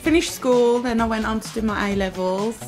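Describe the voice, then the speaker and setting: A woman in her twenties who works as a hospital support worker, speaking calmly in a documentary interview. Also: on the high side, moderate pace, over music.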